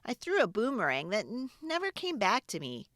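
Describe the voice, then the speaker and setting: A woman reading a children's story aloud in an expressive, dramatic storytelling manner. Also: fairly high pitch, normal speed, dry recording, clean studio sound. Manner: expressive, dramatic, with hushed asides. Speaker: children's book reader and channel host. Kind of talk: animated read-aloud storytelling for kids.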